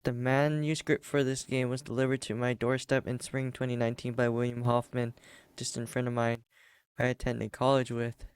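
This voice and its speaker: A man doing a casual game playthrough, reading the story text aloud in a halting, stumbling way. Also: mid-range voice, flat delivery, clean tone, no room sound. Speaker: gaming video host reading a visual novel aloud. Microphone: desk USB condenser microphone. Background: game music.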